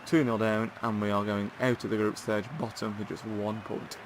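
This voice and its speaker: A man's voice: on the low side, moderate pace, background noise. Chatty man with a sore throat. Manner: chatty and excitable, addressing viewers as guys. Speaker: gaming channel host. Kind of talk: live commentary over a football video game.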